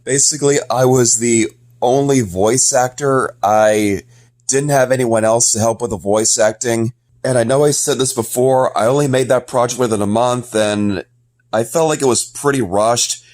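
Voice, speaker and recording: Regular guy, medium pitch, talking thru an announcement like a hobby video creator. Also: steady tone, normal speed, a little mellow. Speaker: amateur video creator and voice actor. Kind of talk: casual scripted-feeling vlog announcement.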